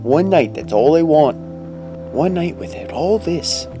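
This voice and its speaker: A man's voice: fairly high pitch, lively intonation, a little slow. A man playing a weary, loving father in a radio drama. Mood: exasperated. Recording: clear music under the voice.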